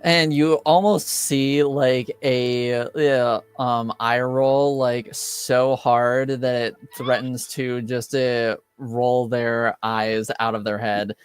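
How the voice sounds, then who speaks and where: A man runs a tabletop roleplaying game as game master. His voice is clear and smooth at a medium pitch, and he narrates slowly and haltingly over faint music. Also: flat delivery. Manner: halting, deliberate, wordy.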